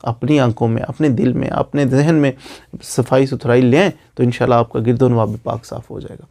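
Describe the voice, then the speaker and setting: A man's voice: medium pitch, fairly even intonation, brisk, creaky, mellow. A man with a Pakistani accent giving an informal explanatory lecture with moral advice, repeating phrases for emphasis and posing rhetorical questions. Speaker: lawyer giving legal advice.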